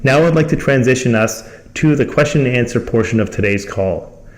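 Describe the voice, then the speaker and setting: A man's voice: medium pitch, lively intonation, brisk. A man reading a scripted company presentation in a formal manner as the host. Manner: formal, reading from prepared text.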